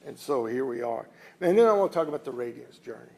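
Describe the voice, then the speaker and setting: The gravel-voiced Southern US company boss you'd hear at a podium at a local jobs announcement, talking plainly and emphatically to officials in a down-home way. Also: male voice, mid-range voice, animated, quick pace, a little mellow. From southern United States (Alabama and Louisiana). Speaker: company executive speaking at an announcement. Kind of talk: informal public speech.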